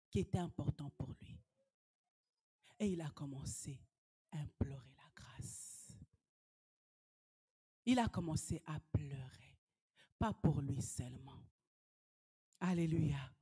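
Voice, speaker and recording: Woman evangelist, slow deliberate delivery, fervent sermon with hushed and shouted passages. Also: deep voice, breathy edge. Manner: fervent preaching, swings from hushed whispers to shouted exhortations. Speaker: evangelist preacher.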